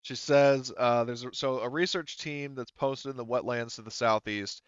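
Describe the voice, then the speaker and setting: A man acting as game master, casually explaining things to players in a halting, informal way. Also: medium pitch, clear, resonant tone, no room sound, highs cut off. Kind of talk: casual tabletop game narration and chat.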